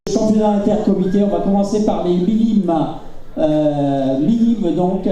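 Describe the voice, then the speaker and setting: A man's voice: sits high, normal speed, dark tone, dull audio. A man hosting an awards ceremony, announcing live and handing over to speakers. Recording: echoey, distant, crowd chatter. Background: crowd.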